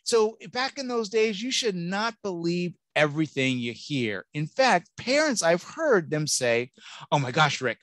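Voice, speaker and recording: A man's voice: sits high, sing-song, a little slow, fairly bright tone, no room sound. Chatty reassuring man on a video call. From Southern California. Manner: chatty, reassuring, jokey, acts out quoted voices. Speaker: college admissions speaker. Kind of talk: presentation to parents over a video call. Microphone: computer mic on a video call.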